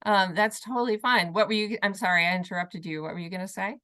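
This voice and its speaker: A woman's voice: mid-range voice, fairly even intonation, fast talker, bright, forceful tone. A woman moderating an online panel over a video call, encouraging and upbeat, often repeating words as she goes. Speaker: panel host.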